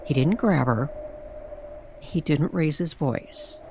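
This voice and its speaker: A woman reading a dramatic scripted paranormal story with suspenseful pauses and careful, measured phrasing. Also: sits low, heavy background noise. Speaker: storyteller narrator.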